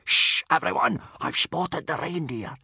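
A man's voice: on the low side, animated, unhurried, creaky, fairly bright tone, breathy edge, no room sound, studio quality, voice pushed up, exaggerated voice. A man voicing a bossy, blustering Viking instructor, commanding and exasperated, in an audiobook story. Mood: hushed, orderly.

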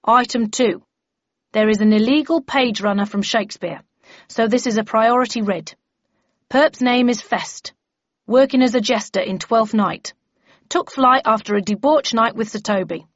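A woman voicing a stern, brisk bellman who chairs a meeting in an officious, declaiming manner, in an audiobook story. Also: on the high side, no echo, muffled recording, voice pushed up, natural voice.

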